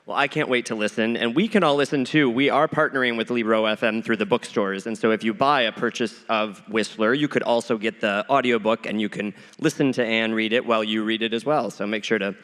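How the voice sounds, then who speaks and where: A man's voice: fairly low pitch, fairly even intonation, fairly quick, few pauses, a bit bright. A man interviewing an author on stage at a festival, asking upbeat questions and plugging the event. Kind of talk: onstage interview. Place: large hall with an audience. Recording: hall reverb with crowd.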